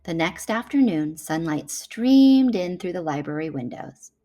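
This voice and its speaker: Woman reading a storybook, lively pitch swings. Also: a little slow. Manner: animated storytelling. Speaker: children's book reader. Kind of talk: expressive picture book read-aloud.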